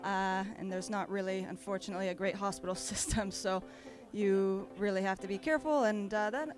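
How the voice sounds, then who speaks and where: Woman bodysurfer from Australia, mid-pitched, laid-back rambling answers, loud music behind. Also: brisk. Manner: relaxed, rambling, many fillers. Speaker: competing bodysurfer. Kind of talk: casual interview. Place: outdoor beach event.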